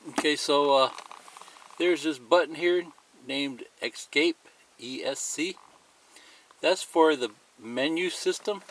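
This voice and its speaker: Slow-paced man over clearly noisy recording. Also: medium pitch. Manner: plain-spoken, step-by-step explaining. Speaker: tutorial presenter. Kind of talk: casual hands-on product demonstration. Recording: clearly noisy amateur recording, clicks and beeps.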